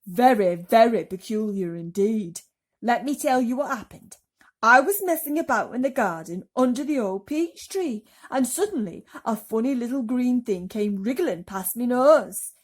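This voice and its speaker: A woman's voice: fairly high pitch, lively intonation, moderate pace. A woman voicing a boastful, gleeful male centipede rascal in a storybook reading.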